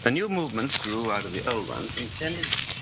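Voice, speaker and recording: An actor playing an earnest, subdued rebel against a regime, speaking seriously in a 1940s radio drama. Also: male voice, medium pitch, sing-song, a little fast. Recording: noisy 1940s radio recording.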